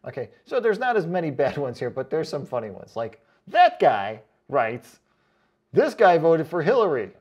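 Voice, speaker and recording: A man hosting a casual vlog, reading out comments in a chatty, joking way with sarcastic asides and drawn-out comic emphasis. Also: on the high side, wildly swooping pitch, breathy edge, muffled recording. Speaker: online boot reviewer and video host.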